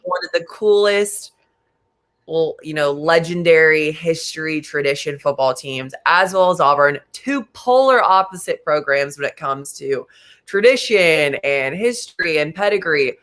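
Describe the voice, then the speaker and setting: A man in his late twenties co-hosting a casual sports talk show, animated and quick in bursts, with many fillers. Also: fairly high pitch. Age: late 20s.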